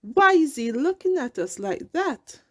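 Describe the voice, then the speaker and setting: A woman's voice: high-pitched, big pitch swings, unhurried, pitched above the performer's own voice. A woman voicing an irritable, suspicious male fish in a children's storybook, urgent and accusing.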